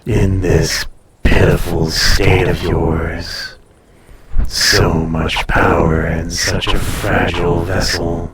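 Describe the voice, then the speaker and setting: Man acting as an ancient dragon, low voice, echoey space, breathy, formal, possessive, seductive, teasing menace, intimate audio roleplay. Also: little pitch movement, takes it slowly, distant mic, crowd noise. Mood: intrigued, possessive.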